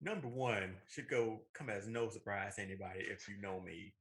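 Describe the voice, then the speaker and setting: A man co-hosting a show, debating casually in an animated, playfully argumentative way. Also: on the low side, normal speed. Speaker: podcast co-host.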